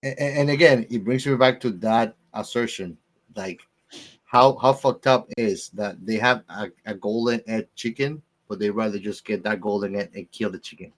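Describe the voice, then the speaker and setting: A man's voice: fairly low pitch, normal speed. A man co-hosting a casual film discussion, speaking emphatically and repeating phrases to drive his points home. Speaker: movie discussion podcast co-host.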